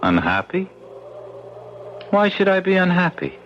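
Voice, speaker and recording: A man's voice: sits high, unhurried, slight background noise. Blunt jumpy neighbor guy, voice swoops all over, muffled old radio. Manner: blunt, excitable and defensive. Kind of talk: 1970s radio drama acting. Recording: dull lo-fi radio broadcast.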